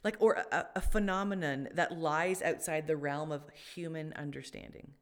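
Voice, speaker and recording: A woman's voice: low voice, normal speed. A woman co-hosting a faith podcast, talking casually and thoughtfully, with many fillers and restarts. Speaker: podcast co-host.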